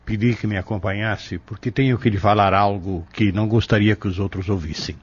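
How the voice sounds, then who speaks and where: A Brazilian man playing a calm, reserved pharmacist in an audio drama, polite and measured with gentle authority. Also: fairly low pitch, husky. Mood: confidential, hesitant. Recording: dull lo-fi audio.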